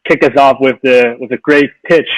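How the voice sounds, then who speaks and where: A man moderates an online panel in an easygoing way, often repeating words and adding fillers. His voice is mid-pitched, and the sound is dry with no room echo. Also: steady tone, moderate pace.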